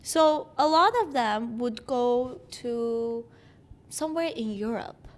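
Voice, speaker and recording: A woman's voice: a little high, takes it slowly. A woman in her twenties, from Iran, with an accent that mixes Persian and Greek, chatting casually as a guest and often laughing mid-sentence. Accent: mixed Persian and Greek. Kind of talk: casual interview chat.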